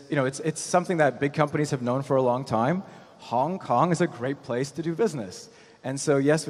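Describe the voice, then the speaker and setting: A man speaking as an angel investor on a conference panel, explaining his points in a measured way with frequent "you know". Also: mid-range voice, darkish tone. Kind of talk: panel discussion, explanatory talk. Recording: echoey hall with long reverb.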